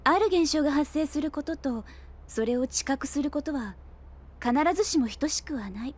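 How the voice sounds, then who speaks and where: An actress playing a thoughtful young woman scientist in an audio drama, gentle, reflective and politely spoken. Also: sits high, lively intonation, moderate pace. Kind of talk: Japanese audio drama acting. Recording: soft music bed behind.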